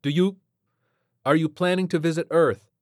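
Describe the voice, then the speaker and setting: A man voicing a brisk, irritable female lieutenant and acting captain in a story, direct and demanding. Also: on the high side, steady tone, dry recording, studio quality, pitched above the performer's own voice, lightly acted. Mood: questioning, urgent.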